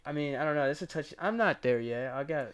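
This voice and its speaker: A man's voice: fairly high pitch, lively intonation, fast, over a noisy crowd. A young man co-hosting a casual podcast, laid-back and chatty, dropping "like" and "bro" often. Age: young adult. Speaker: podcast co-host. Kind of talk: casual chat.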